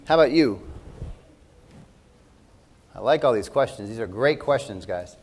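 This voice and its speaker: A man's voice: mid-range voice, lively intonation, a little slow, a little mellow, a little hiss or hum. Upbeat man hosting a kids' Q&A. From Chicago. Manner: upbeat, encouraging, repeats the kids' questions. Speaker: event moderator. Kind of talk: hosting a Q&A for children.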